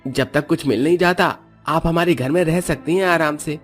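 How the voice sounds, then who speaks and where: A man's voice: a little high, normal speed, faint music behind, lightly acted. A man voicing a loyal, humble household servant in a deferential, pleading manner in a family story. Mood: respectful, caring.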